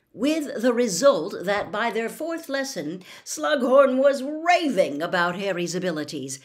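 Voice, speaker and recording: Expressive woman reading a storybook. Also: mid-range voice. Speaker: audiobook reader.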